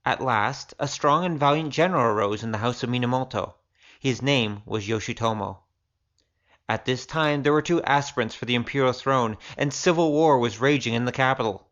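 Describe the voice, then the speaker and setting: A man's voice: mid-range voice, fairly bright tone. Man narrating an audiobook, slightly dull sound. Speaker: audiobook reader. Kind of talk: scripted storybook narration.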